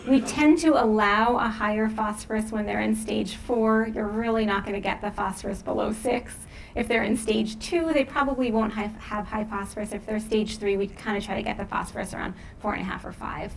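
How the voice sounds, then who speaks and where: A woman's voice: mid-range voice, brisk, vocal fry, slight background noise, rough recording. A woman veterinarian answering audience questions after a lecture in an informal, conversational and reassuring way. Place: live lecture event.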